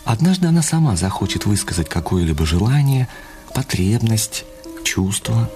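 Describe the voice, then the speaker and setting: A man acting in a radio play as a puzzled, considerate doctor, giving advice in a measured way. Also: lowish voice, big pitch swings, music in the background. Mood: puzzled, advising. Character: puzzled, considerate male doctor advising a husband.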